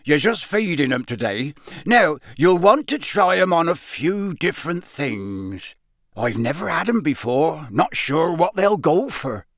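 A man voicing a huge, kindly bearded groundskeeper who talks in a rough, dropped-letter dialect and gets enthusiastic about strange creatures. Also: a little high, big pitch swings, unhurried, fairly bright tone, no echo, studio quality, pitched above the performer's own voice, exaggerated voice. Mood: explaining.

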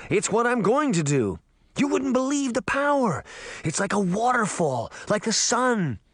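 A reckless, power-hungry uncle from a storybook, acted out by a male narrator, speaks in a raised, very animated voice. He declaims with exultant, demanding force, recorded dry and close. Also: high voice, normal speed, no room sound, voice pushed up. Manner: loud, excited declaiming.

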